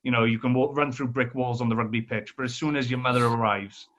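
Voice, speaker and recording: A man's voice: medium pitch, steady tone, fast, fairly bright tone. A Welsh man hosts a casual podcast conversation. He steers with friendly leading questions and slips in his own anecdotes. The audio is slightly dull and lo-fi. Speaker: podcast host.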